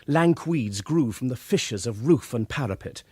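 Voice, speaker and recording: An actor playing a rational, commanding English gentleman in a period radio drama, formal, articulate and emphatic. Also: mid-range voice, lively intonation, normal speed, husky, no echo. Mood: grave narration.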